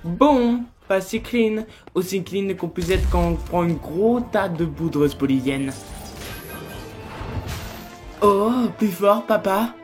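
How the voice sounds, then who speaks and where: A woman's voice: mid-range voice, unhurried, few pauses, natural voice. A woman voicing a cocky, crude male demon porn star, flirtatious and sarcastic, in an amateur French cartoon fandub. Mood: sly, boastful. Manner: sarcastic, teasing, vulgar slang. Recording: music behind the voice.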